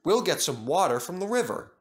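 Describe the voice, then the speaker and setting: A man voicing a brisk, excitable engine driver in a children's storybook. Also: sits high, voice pushed up. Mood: reassuring.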